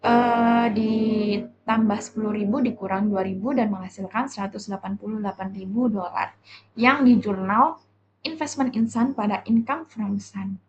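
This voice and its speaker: A young woman with an Indonesian accent gives a halting, step-by-step explanatory class presentation as a student. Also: medium pitch, fairly even intonation, soft background music. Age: young adult. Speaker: university accounting student presenter. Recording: dull lo-fi audio, faint background noise.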